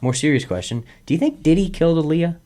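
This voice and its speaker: A man's voice: on the high side, animated, fast talker, mellow. A man, hosting a radio interview in a casual, chatty way. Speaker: radio show host interviewing guests. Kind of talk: casual chat.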